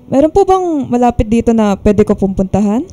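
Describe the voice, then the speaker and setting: High, mellow young woman. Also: big pitch swings, brisk. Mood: polite, weary. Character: burned-out 28-year-old woman, polite but feisty when provoked. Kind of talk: Filipino radio drama acting.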